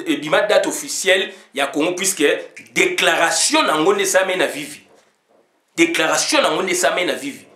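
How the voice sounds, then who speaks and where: A man's voice: on the high side, bright, forceful tone, echoey room. A man giving an informal political monologue as a commentator, switching between two languages, with many fillers, sometimes reading legal text aloud.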